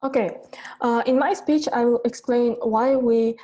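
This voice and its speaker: A woman giving a competitive debate speech in a halting way, often repeating words. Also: fairly high pitch, fairly even intonation, mellow, dull audio. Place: online debate call.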